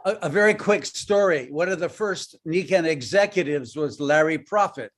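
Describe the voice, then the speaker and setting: A man on a video call, telling a joke and a story in short phrases, pausing for an interpreter. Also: a little high. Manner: short phrases paused for translation, light joking. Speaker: guest speaker. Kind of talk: casual talk through an interpreter.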